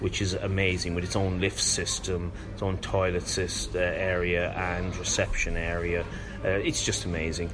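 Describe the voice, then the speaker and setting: A man's voice: low voice, little pitch movement, normal speed. A man with an Irish accent chatting casually and wryly as a podcast guest, with jokey banter. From Ireland. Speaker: podcast guest, gaming event representative. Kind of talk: casual chat. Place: hotel breakfast area. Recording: clearly noisy room ambience.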